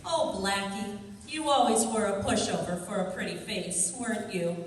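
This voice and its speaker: A woman's voice: medium pitch, lively intonation, normal speed, lightly acted. A woman playing a sarcastic, miffed sweetheart of a sleuth in an old-time radio detective drama, arch in manner. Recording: echoey hall, distant, faint noise.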